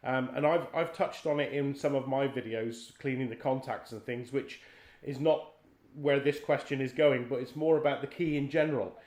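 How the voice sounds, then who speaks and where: A man's voice: mid-range voice, steady tone, normal speed, a little mellow. A middle-aged man interviewing someone in a conversational style. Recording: echoey room sound.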